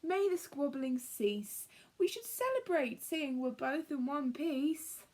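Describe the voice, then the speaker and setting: A woman's voice: high voice, animated, slow pace, darkish tone. A woman voicing a greedy, anxious male squirrel who hoards food, hollering in a children's storybook. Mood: giddy relief. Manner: hollering, exclamatory.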